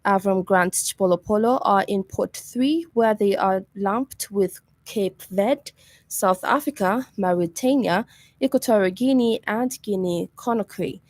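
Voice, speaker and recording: A woman's voice: medium pitch, a little mellow, no echo. A woman with a Zambian accent reads a scripted news bulletin as a radio presenter. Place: radio studio.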